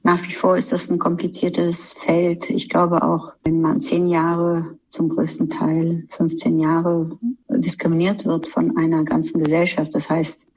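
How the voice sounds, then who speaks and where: Woman, film director, husky voice, flat delivery, thoughtful interview answers. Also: low-pitched, measured pace, rough recording. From Germany. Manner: thoughtful, searching, with fillers and restarts.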